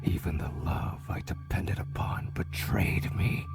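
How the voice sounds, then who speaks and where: A man's voice: on the low side, huge pitch swings, measured pace, gravelly, over music, heavily acted. An actor playing a bitter, vengeful, haunted man in a video game, speaking in a brooding half-whisper. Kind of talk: dramatic video game monologue.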